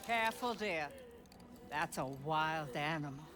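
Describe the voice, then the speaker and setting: A woman's voice: sits low, measured pace, heavy background noise. A woman acting as a cold, ruthless older grandmother villain in a video game, speaking with haughty menace. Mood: icy, smug. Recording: game music behind the voice.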